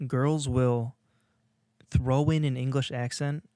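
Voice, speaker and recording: A young man talks off the cuff on a podcast, mocking himself and restarting his sentences as he goes. His voice sits in an ordinary middle pitch. The recording is dry and close, with no room sound. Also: fairly even intonation, slow, dark tone, clean tone, no room sound. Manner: rambling, self-mocking, many restarts. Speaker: podcast co-host. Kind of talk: casual comedic monologue.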